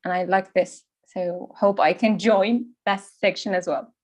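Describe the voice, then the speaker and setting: A young woman in an online discussion group, speaking haltingly in a learner's English, full of fillers and restarts. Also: medium pitch, fairly even intonation, a little mellow. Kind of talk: online casual chat.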